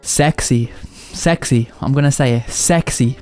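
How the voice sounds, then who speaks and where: A man hosting casual video commentary in an animated way, his pitch leaping up whenever he makes a point. His voice sits at an everyday middle pitch. Faint music plays under him. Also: unhurried. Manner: animated, jumps up in pitch for emphasis. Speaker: YouTube commentator.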